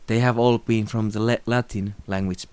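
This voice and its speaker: A young man from Finland, a native Finnish speaker, talking calmly and thoughtfully to camera in a small room, without a script. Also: on the low side, normal speed, clear, resonant tone, no echo. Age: young adult. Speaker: video creator. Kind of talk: unscripted tribute talk to camera.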